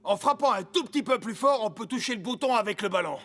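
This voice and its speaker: High, playful young cartoon footballer. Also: male voice, lively intonation, quick pace, heavy background noise. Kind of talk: French animated series dubbing. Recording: loud music behind the voice. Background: loud music.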